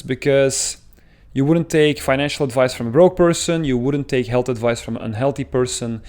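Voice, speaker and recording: A man's voice: mid-range voice, darkish tone. A man from Belgium with a thick Belgian accent, talking earnestly to camera as a dating coach, explaining at length with frequent filler sounds. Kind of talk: talking-head advice video.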